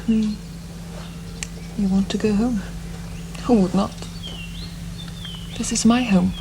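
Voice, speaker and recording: An actress playing a lonely German war widow, speaking with a German accent in a quiet, halting, sorrowful way. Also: female voice, mid-range voice, steady tone, gravelly. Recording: hissy background noise.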